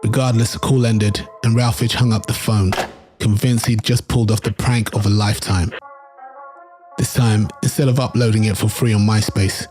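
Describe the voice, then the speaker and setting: Low-voiced man narrating with dramatic weight. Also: fairly even intonation, moderate pace, music in the background. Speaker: documentary narrator. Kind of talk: scripted narration.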